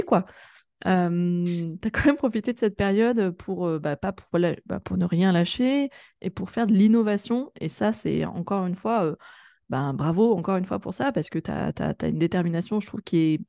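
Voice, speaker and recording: Dark-toned, enthusiastic woman podcast host. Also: lowish voice, creaky, no room sound. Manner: effusive, enthusiastic, informal. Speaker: podcast host interviewing a guest. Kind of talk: casual conversational interview.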